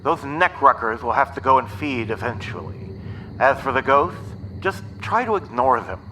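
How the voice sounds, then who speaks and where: A man's voice: medium pitch, lively intonation, moderate pace, bright, forceful tone. A man playing a nerdy, pedantic boy sidekick in an audio story, talking dramatically over a tinny radio. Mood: pedantic, exasperated. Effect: tinny radio transmission. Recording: tinny walkie-talkie with faint noise.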